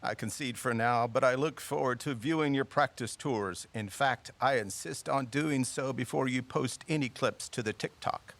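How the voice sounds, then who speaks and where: Grandiloquent older man, gravelly creak. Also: mid-range voice, moderate pace, few pauses, fairly bright tone. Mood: grudging, pompous. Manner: grandiloquent, old-fashioned phrasing. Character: proud, theatrical older father, owner of a walking-tour company. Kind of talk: audio drama acting.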